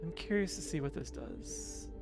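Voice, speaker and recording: Man, mixed accent, fairly high voice, slow musing game talk, soft chuckles. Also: music in the background. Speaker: streamer. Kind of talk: casual game commentary.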